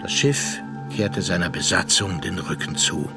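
An actor playing a measured, thoughtful narrator and confidant, speaking deliberately in a literary radio drama. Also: male voice, sits low, over music. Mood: reflective.

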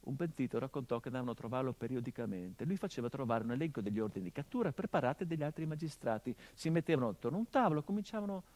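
A middle-aged actor playing a grieving son in a theatrical monologue, with bitter irony that swings from loud outbursts to hushed low tones. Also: male voice, expressive, racing, hardly any pauses, close to an everyday voice. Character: grieving son of a murdered man, bitter, haunted. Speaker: stage actor.

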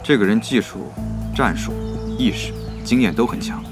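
A man playing a seasoned, analytical gaming guild leader in a Chinese audio drama, speaking in a measured, composed way. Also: fairly low pitch, natural voice. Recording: music bed under the voice.

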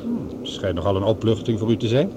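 A reserved professor in a radio drama. His delivery is dry and courteous, yet the pitch moves around a great deal. Loud rumbling noise lies behind him. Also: sing-song, fairly quick. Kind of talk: Dutch radio play acting.

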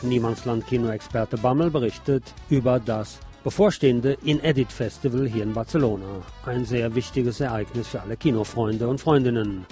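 A man hosting a radio show with formal, measured announcements. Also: mid-range voice, mellow. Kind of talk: radio presenting. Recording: faint music behind the voice.

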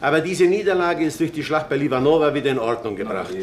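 An actor playing a courteous gentleman with a polite, measured manner. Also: on the high side, lively intonation, crowd noise, close to an everyday voice. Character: courteous gentleman guest, inquiring.

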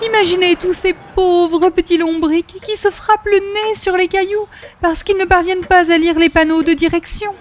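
High-pitched, gushing comedy lady. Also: fairly even intonation, normal speed. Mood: dramatically earnest. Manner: theatrical, flowery, exaggerated. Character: gushing, coquettish, earnest lady visitor. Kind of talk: 1940s-style radio comedy acting.

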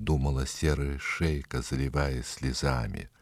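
A man narrating a scripted fairy tale in an expressive, dramatic storytelling manner. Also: very deep voice, a little slow, no room sound, clean studio sound.

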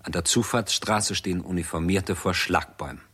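A man giving sober, measured scripted narration for a 1960s German radio drama. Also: deep voice, steady tone, a bit bright, slightly rough.

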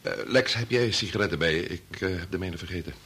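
An actor playing a grave middle-aged chief bacteriologist and family man torn by conscience, speaking formally and deliberately in a radio play. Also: deep voice, sharp tone, breathy edge, full character voice. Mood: weary, reluctant. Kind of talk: Dutch radio play acting.

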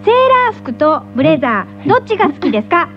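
A woman's voice: sits high, very animated, quick pace. A woman, a voice actor, chatting casually on a radio show. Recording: dull lo-fi radio audio over music.

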